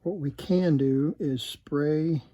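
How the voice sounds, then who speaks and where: A man giving casual, unscripted repair commentary at a workbench, halting and muttering to himself as he works. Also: a little high, mellow. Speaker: host demonstrating electronics repair.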